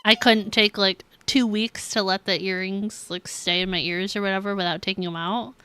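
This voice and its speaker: A young woman livestreamer chatting casually with viewers in a halting, conversational, giggly way while playing a game. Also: medium pitch, fairly even intonation, pushed and bright. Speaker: game streamer.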